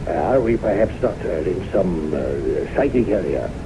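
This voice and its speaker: An actor playing a hesitant, curious gentleman questioning a friend in a radio drama. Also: low-pitched, expressive, unhurried. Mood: hesitant, probing. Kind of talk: 1970s radio drama acting. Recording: noisy old radio broadcast.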